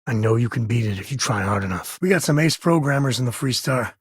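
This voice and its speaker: A heavily husky, gravelly spacefarer from a video game, with a medium-pitched voice. He is an easygoing, loyal father and explorer who talks in a wry, folksy, conversational way. The sound is dry, with no room around it. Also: lively intonation, fast, dry recording. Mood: earnest. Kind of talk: video game character dialogue.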